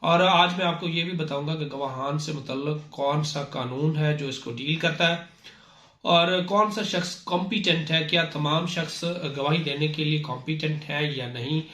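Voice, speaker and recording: A man's voice: fairly high pitch, a bit bright. A man with a Pakistani accent giving an informal explanatory lecture, didactic and repeating his points as a presenter. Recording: echoey, reverberant room sound.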